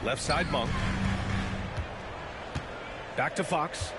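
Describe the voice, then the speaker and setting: A man's voice: fairly high pitch, drawn-out words, loud music behind the voice. A man acting as a play-by-play announcer in a basketball video game, calling the action in clipped, punchy phrases. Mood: focused. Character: brisk, polished male TV basketball play-by-play announcer. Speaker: play-by-play commentator. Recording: loud arena noise and ball bounces behind. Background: basketball game ambience, bouncing balls.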